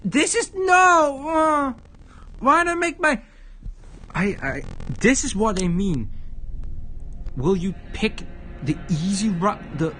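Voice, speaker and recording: A man's voice: high-pitched, slight background noise. A man on a game livestream, reading visual novel text aloud and commenting, stumbling over words as he goes. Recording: loud music under the voice. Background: loud background music.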